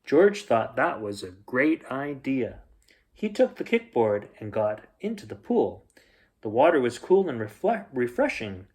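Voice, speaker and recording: A man reading a children's bedtime story aloud, expressively, with dramatic emphasis and pauses. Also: mid-range voice, big pitch swings, darkish tone.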